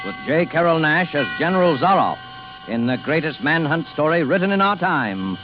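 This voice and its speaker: A man's voice: on the high side, big pitch swings, moderate pace. A man announcing a thriller in a dramatic 1940s radio style. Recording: dull 1940s radio, music behind.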